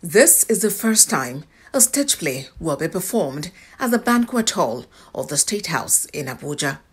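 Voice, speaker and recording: Nigerian lady reporter reading news script, lowish raspy voice. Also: big pitch swings, measured pace.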